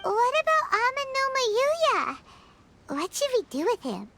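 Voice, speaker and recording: A woman voicing a small, chirpy, fretful fairy girl companion in a video game, squeaky and childlike. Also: measured pace, very noisy, heavily acted. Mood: concerned, questioning. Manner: speaks of self in third person, animated. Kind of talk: video game character acting. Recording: loud game music behind the voice.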